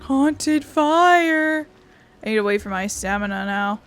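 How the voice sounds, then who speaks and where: A woman's voice: on the high side, animated, slow, clear, resonant tone. A woman giving casual let's-play commentary, grumbling bluntly and swearing as she plays. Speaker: gaming video host. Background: game music.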